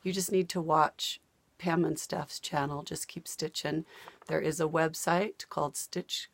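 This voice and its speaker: A woman's voice: low-pitched, fairly even intonation, unhurried, vocal fry, no room sound. An older woman chatting casually to camera about her hobby, her voice partly worn out from days of talking, rambling and laughing easily. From United States. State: losing her voice. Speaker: cross-stitch vlogger. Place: home.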